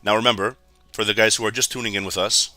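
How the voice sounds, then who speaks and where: A man from Canada with a raspy, hoarse voice, giving casual live commentary on a trading stream and talking straight to his viewers. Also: fairly low pitch, fairly even intonation, fast, bright, forceful tone, no echo, faint music behind. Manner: chatty, keeps addressing viewers as guys.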